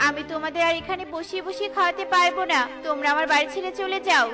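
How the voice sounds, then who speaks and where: Hardworking female cartoon bird; extremely high voice, expressive, exasperated edge, music underneath. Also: racing. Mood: annoyed. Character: hardworking female bird baker, kind but easily exasperated. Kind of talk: children's cartoon voice acting.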